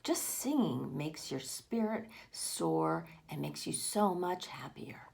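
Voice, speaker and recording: Expressive, low-pitched woman reading to children. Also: slow pace, vocal fry, slight background noise. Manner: expressive, addresses young listeners with questions and exclamations. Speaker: read-aloud storyteller for children. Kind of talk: picture book read-aloud with asides to children.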